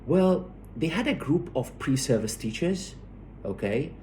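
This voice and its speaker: A male lecturer explains academic material at a very unhurried pace. His voice is mid-pitched and a little mellow, and he hesitates, repeats words and checks understanding as he goes. A faint noise sits behind him. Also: poor audio.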